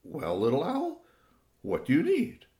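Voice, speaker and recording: Sly male fox for a storybook, read by a man: smug, slippery, mock-formal, very animated delivery. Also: fairly high pitch, reverberant, voice pushed up. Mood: musing. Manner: mock-formal, drawn-out musing. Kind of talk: acted children's storytelling.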